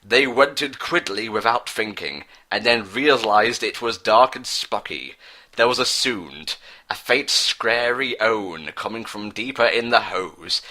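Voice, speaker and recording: A man's voice: lowish voice, pushed and bright. A man reading a story aloud as narrator, in a mock-dramatic style. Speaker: narrator, fan fiction reader.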